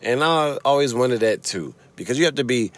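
A man's voice: medium pitch, lively intonation, fairly quick, no room sound. A man with a Chicago accent hosting a casual solo podcast from his car, rambling, swearing freely and laughing often. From Chicago, USA. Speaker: podcast host.

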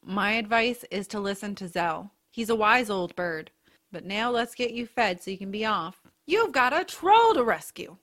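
A woman's voice: mid-range voice, animated, normal speed, a bit bright, close to an everyday voice. A woman playing a caring, encouraging aunt who gives advice in a family audiodrama. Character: caring aunt giving advice to young adventurer. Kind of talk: audiodrama character acting.

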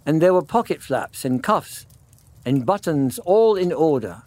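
A man narrating a classic children's story in measured phrases with dramatic pauses. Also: a little high, big pitch swings, a little mellow, no echo.

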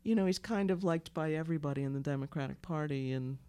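A woman with a slightly hoarse voice that sounds like it is fading, talking in a loose, rambling way. She stops and restarts as she hunts for names and words. Her sound is dry and close, with no room around it. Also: low voice, fast talker, dark tone, dry recording. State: losing her voice. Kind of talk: casual political chat.

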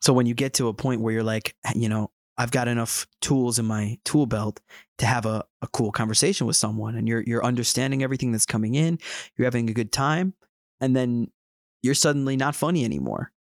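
A man's voice: medium pitch, brisk, no room sound. Dry-sounding man hosting a casual podcast. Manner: relaxed, thoughtful, explains with examples. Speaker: podcast host.

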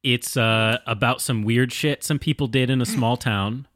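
Male panelist, mid-pitched, rambling comic chat, thinking aloud, recorded close and dry. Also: steady tone, normal speed, a bit bright, no echo. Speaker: podcast panelist.

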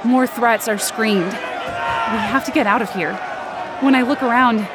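A woman's voice: a little high, unhurried, lightly acted. A woman playing an anxious, desperate woman who narrates her own ordeal urgently in an audio drama. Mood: uneasy, watchful. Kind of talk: first-person present-tense audio drama narration. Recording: noisy outdoor crowd sound effects behind.

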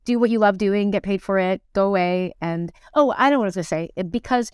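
Smooth, clear-voiced middle-aged woman. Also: mid-range voice, quick pace, no echo, studio quality. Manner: animated, rambling, self-deprecating, quotes people as she tells stories. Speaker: interview guest, musical theatre performer and teacher. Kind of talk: casual conversational storytelling.

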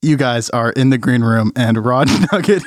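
A man's voice: mid-range voice, normal speed, no echo, studio quality. Young man as a casual game master. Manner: casual, loose, laughing narration. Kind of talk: narrating a tabletop game.

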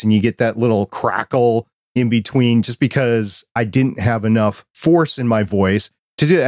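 A man with a quiet voice hosting a podcast, asking curious, friendly questions and chatting about microphones into a dynamic mic. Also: medium pitch, dry recording, clean studio sound. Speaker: podcast host. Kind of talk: interview questions and chat.

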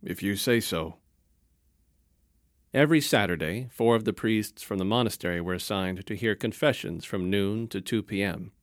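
A man narrating a story in a calm, measured storytelling manner. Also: lowish voice, dry recording, clean studio sound.

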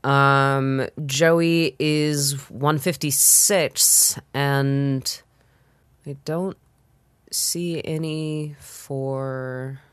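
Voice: mid-range voice, steady tone, drawn-out words, smooth, dry recording. A podcast co-host talking in a casual discussion.

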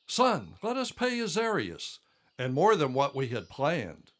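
A man's voice: a little high, huge pitch swings, unhurried, fairly bright tone, full character voice. An older man playing a devout, grateful elderly father in a religious audio drama, with fervent, reverent delivery. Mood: concerned, earnest.

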